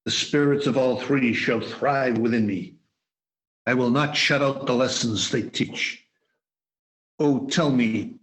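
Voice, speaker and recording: A repentant old miser pleads in slow, drawn-out phrases , as a man acts him out over a video call. The voice sits at an ordinary middle pitch and never hurries. It has the earnest feel of an amateur radio play. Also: fairly bright tone, natural voice, poor audio.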